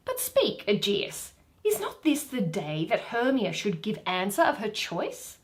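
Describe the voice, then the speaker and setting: A woman's voice: medium pitch, wildly swooping pitch, unhurried, voice pushed down. A woman voicing a proud, commanding male duke in declamatory Shakespearean verse. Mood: curious. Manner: declamatory, emphatic.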